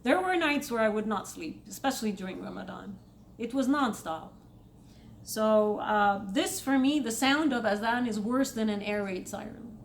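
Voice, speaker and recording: A woman's voice: moderate pace, a little hiss or hum. A young woman from Saudi Arabia with an Egyptian accent, talking to her viewers in a casual, emphatic commentary. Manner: emphatic, spikes in pitch when making a point. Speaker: vlogger.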